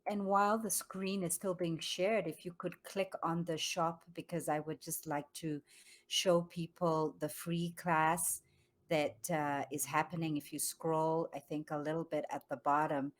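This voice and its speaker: Low voiced woman from zambia, calm reflective guest on a video call. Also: measured pace. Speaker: guest, yoga studio founder. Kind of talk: online interview conversation.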